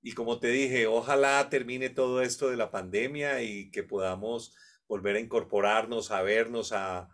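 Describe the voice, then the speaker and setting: A man's voice: mid-range voice, fairly even intonation, moderate pace, fairly bright tone. A Colombian man hosting a conference, thanking the speaker in a courteous, effusive way. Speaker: conference host. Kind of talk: formal thanks and praise.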